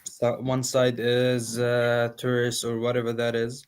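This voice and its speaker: Earnest man from Palestine, halting. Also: mid-range voice, completely flat delivery, clean tone. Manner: earnest, hesitant, frequent fillers. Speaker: guest. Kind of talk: casual interview.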